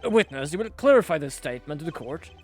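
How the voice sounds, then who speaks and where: A man playing a loud, stern courtroom judge. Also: fairly high pitch, big pitch swings, quick pace, husky, soft background music. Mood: commanding.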